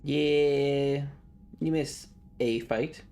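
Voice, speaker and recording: A woman's voice: very deep voice, very slow, mellow. A woman streamer giving casual, reactive commentary on a video game, playful and slangy with some swearing.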